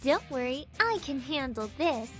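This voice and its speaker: A woman's voice: sits high, very animated, a little slow, a bit bright, heavily acted. A woman voicing a sarcastic, confident young female hedgehog hero, with music playing behind. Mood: cocky. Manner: sarcastic, cocky. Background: background music.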